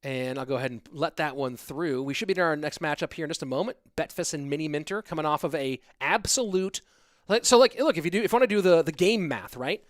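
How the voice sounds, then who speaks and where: A man casually casting an esports stream, reading viewer chat aloud and answering in a relaxed, rambling way. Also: fairly high pitch, fast talker, no echo, clean studio sound.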